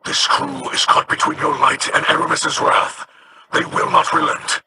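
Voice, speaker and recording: An actor voicing a weary alien pirate elder in a video game, speaking solemnly. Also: male voice, a little high, animated, normal speed, background noise. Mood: grave. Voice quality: solemn, gravelly.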